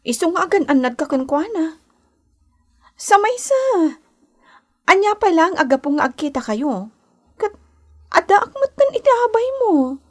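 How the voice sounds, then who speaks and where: Radio drama role: insistent young schemer in love, Ilocano accent, high voice with wide melodic swings. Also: female voice, sing-song, unhurried, pauses often, heavily acted. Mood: coaxing, lovelorn. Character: scheming, lovelorn young woman, Zita, pleading and insistent. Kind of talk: Philippine radio drama acting.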